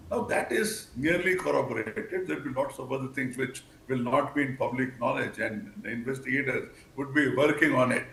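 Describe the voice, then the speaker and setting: An older man with an Indian English accent giving formal, measured, wordy commentary as a news panel expert. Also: medium pitch, creaky, fairly bright tone. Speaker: expert guest, former senior police officer. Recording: echoey room, faint background noise.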